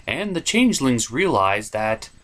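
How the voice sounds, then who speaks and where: A man's voice: medium pitch, very animated, a little slow, fairly bright tone. A man giving a comedic recap as a cartoon reviewer, sarcastic and mocking in manner.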